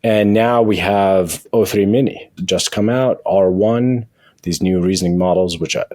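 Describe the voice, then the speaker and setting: A man with a husky voice speaking as a guest in an interview, explaining things in a halting way with frequent restarts. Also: low-pitched, mellow, dry recording, studio quality. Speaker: guest, tech company founder and CEO. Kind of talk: interview conversation with software demo.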